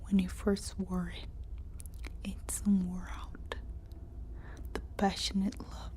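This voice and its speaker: A woman reading a story aloud as whispered ASMR narration, in short, deliberate phrases. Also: medium pitch, completely flat delivery, dark tone. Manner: slow, deliberate delivery in short, separated phrases.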